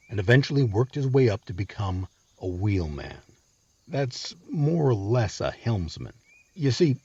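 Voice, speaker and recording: A man's voice: mid-range voice, mellow, husky, dry recording, lo-fi sound. A man narrating a scripted history story with emphatic, dramatic phrasing, as the host of the show.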